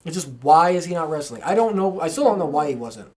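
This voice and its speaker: Mid-pitched voice, informal podcast talk, enthusiastic fan tone. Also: expressive, fast talker, darkish tone. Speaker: podcast co-host. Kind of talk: casual wrestling fan chat.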